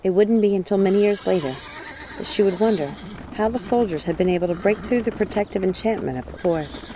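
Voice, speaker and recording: A woman's voice: mid-range voice, fast talker, background noise. Woman narrating a story over music. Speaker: audiobook narrator.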